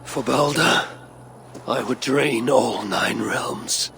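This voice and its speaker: A man playing a proud, brooding Norse god and grim father in a video game, speaking in a measured, solemn, commanding way. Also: medium pitch, pushed and bright, slight background noise, full character voice. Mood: grave, resolute. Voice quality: gravelly. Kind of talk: video game cinematic acting. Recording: music under the voice. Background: cinematic game music.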